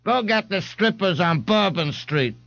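A man's voice: high voice, expressive, unhurried, gravelly, fairly bright tone, muffled recording, voice pushed up, exaggerated voice. A man voicing an indignant, fussy elderly man in a street crowd.

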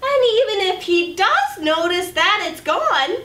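A young woman reading a picture book aloud as a children's storyteller, with animated, exaggerated delivery, in a small room at a distance from the mic. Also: extremely high pitch, measured pace. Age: young adult. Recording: roomy, distant camera mic, faint noise.

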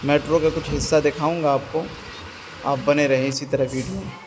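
A man's voice: a little high, fairly even intonation, fairly quick. A young man filming a casual walking vlog on a phone held at arm's length, pointing things out to his viewers as he moves through a mall and onto a metro. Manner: talks to viewers while walking, repeats "ye dekhiye". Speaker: vlogger. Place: large shopping mall, indoors, then street and metro. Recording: loud background noise from mall and traffic.

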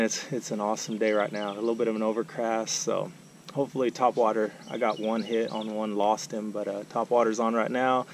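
A man's voice: mid-range voice, vocal fry, slight background noise. Hyped-up man vlogging outdoors. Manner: excited, slangy, hyped-up. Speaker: fishing vlogger. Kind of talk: casual vlog talk to camera. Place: outdoors, on a kayak on a river. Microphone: action camera on a pole.

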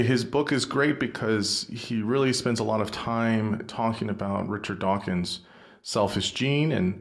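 A man's voice: on the low side, creaky. A man hosting a podcast, introducing his guest and interviewing him enthusiastically with many fillers and restarts. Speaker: podcast host.

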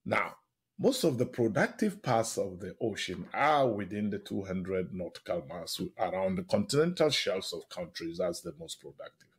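A man's voice: low voice, very animated, normal speed, breathy edge. A man speaking as an expert guest in a radio interview, explaining emphatically and enthusiastically, often checking in with short tag questions.

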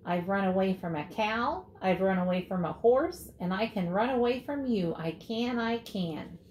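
A woman's voice: mid-range voice, expressive, normal speed, darkish tone, reverberant, slight background noise, lightly acted. A woman voicing a cheeky, boastful little gingerbread boy in a storybook, chanting taunts in a singsong way.

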